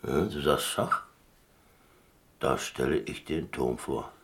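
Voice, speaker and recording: A man acting in a German radio play as a gruff, sardonic ship's captain, speaking in terse, colloquial grumbles. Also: rumbling low, expressive, slow pace, fairly bright tone, exaggerated voice. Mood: dry, teasing.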